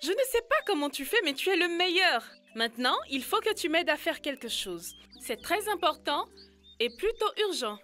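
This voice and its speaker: Woman voicing a very high, bright little girl. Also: very animated, moderate pace, faint music behind, full character voice. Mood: cheerful, eager. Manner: playful, giggly, teasing. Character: cheerful, helpful young village girl. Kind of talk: animated educational cartoon dialogue.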